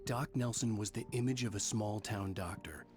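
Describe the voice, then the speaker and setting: A man playing a troubled writer in a video game, speaking in an earnest, measured way. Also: on the low side, lightly acted. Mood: brooding. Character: troubled male writer, tender with his wife, haunted narrator. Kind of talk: video game acting and first-person narration. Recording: music under the voice. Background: background music.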